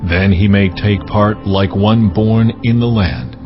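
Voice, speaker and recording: A solemn male deity in an audio scripture drama. The voice is low, deliberate and commanding, issuing laws with gravity. The sound is slightly dull, with music clearly playing underneath.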